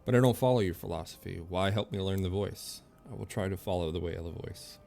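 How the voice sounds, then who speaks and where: Low, creaky-voiced male game streamer. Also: fast, a little mellow. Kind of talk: casual playthrough commentary, reading game text aloud. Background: faint game music.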